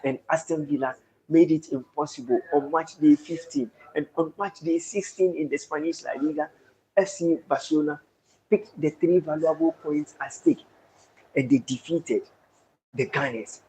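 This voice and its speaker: A man's voice: a little high, steady tone, normal speed, mellow, a little hiss or hum, rough recording. A man with a Ghanaian accent hosting a casual football live stream, effusively thanking viewers and declaiming sports talk.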